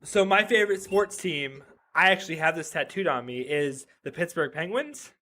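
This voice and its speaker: A man in his thirties with a bright, forward tone and a slightly high voice, talking as a podcast guest. He rambles cheerfully, stacks up "like" fillers and restarts his sentences as he jokes along. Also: animated, moderate pace, slight background noise. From Reno, Nevada. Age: 30s. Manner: chatty and joking, many "like" fillers and restarts. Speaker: podcast guest, web developer. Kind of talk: casual interview chat.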